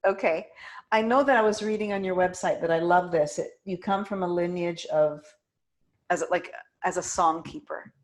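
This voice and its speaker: A woman's voice: on the low side, moderate pace. A woman interviewer asking questions hesitantly, with many fillers. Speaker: host, interviewer. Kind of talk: interview conversation.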